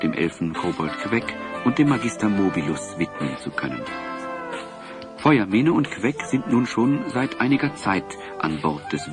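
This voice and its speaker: Male storyteller over prominent music. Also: lowish voice. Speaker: narrator. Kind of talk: scripted storybook narration for an audio drama.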